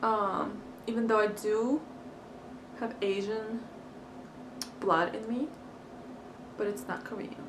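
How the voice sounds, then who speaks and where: A woman's voice: mid-range voice, fairly even intonation, slow, pauses often. A young woman from Crimea, a native Russian speaker, chatting casually while eating, with lots of fillers and giggles. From Crimea, Ukraine. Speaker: vlogger. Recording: echoey room, far mic, hiss.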